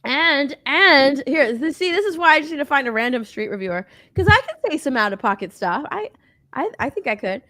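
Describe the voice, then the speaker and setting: A woman's voice: high-pitched, lively intonation, fairly quick, bright, forceful tone, dry recording. A woman from Texas co-hosting a casual stream chat, rambling, laughing often and trailing off mid-sentence. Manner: rambling, giggly, trails off and restarts. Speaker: co-host.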